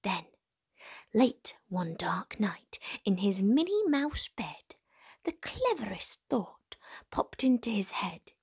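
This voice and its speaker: A woman reading a children's storybook aloud expressively as host, with dramatic pauses and hushed emphasis. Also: mid-range voice, very animated, husky.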